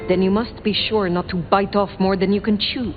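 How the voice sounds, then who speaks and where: An actress playing a cool, formal female vampire sheriff in a video game, an ancient wanderer who speaks in measured, cutting phrases. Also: medium pitch, steady tone, lightly acted. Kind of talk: video game character dialogue. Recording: muffled, phone-like game audio under music.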